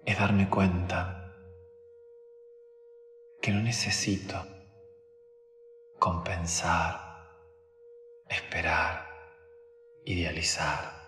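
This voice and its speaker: Very slow, deep-voiced man guiding meditation. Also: steady tone, fairly bright tone. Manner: short phrases with long pauses, sometimes dropping to a whisper. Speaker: meditation guide. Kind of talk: guided meditation. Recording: roomy long reverb with faint background music.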